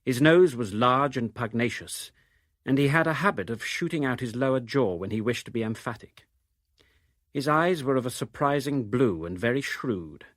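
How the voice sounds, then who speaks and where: A man's voice: medium pitch, lively intonation, normal speed, a bit bright, no echo, clean studio sound. A man reading literary prose as a scripted narration. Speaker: reader of novel extracts.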